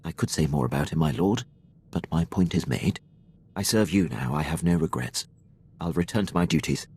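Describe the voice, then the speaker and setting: An actor playing a dutiful Imperial military officer in a video game, speaking with precise, deferential formality. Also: male voice, a bass voice, animated, normal speed, creaky, darkish tone, breathy edge, full character voice. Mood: restrained grievance. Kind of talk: scripted video game dialogue.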